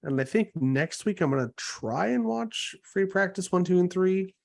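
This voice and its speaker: A man's voice: sits high, animated, normal speed, vocal fry, darkish tone. A young man hosting a casual podcast chat, recovering from a cold with an occasional cough, telling stories animatedly and swearing freely. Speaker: podcast host.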